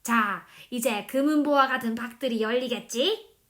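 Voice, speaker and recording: Woman acting loud, high-pitched greedy storybook man. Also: moderate pace, natural voice. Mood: greedy, eager. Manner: loud, exclamatory, wailing pleas. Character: greedy, blustering man who panics and begs. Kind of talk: children's storybook character acting.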